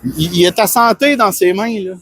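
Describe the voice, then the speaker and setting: A man's voice: high voice, moderate pace, fairly bright tone, breathy edge, dry recording. A man with a Québécois French accent, a fitness coach talking earnestly and rambling in a casual self-promotional monologue. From Quebec. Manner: rambling, earnest, many restarts and fillers.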